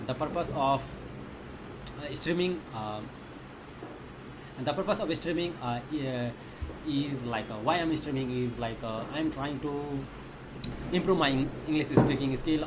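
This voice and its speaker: An Indian-accented man whose first language is Thethi talks casually as a live coding streamer. He speaks haltingly, circling back over phrases as he looks for the next word. His voice sits at a medium pitch, with clear noise in the recording. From Magadha region of India. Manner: halting, repetitive, searching for English words. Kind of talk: casual livestream chat.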